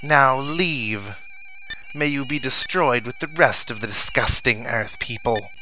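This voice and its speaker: A man's voice: medium pitch, unhurried, bright, forceful tone, low-quality recording. Man putting on a menacing, declamatory alien overlord. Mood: cold menace. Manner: declamatory, commanding. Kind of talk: reading video game dialogue in character. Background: video game music and sound effects.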